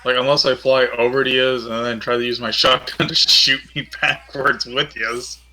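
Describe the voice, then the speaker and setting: A man's voice: mid-range voice, flat delivery, normal speed, pushed and bright. A man taking part in a tabletop role-playing game as a player, chatting casually.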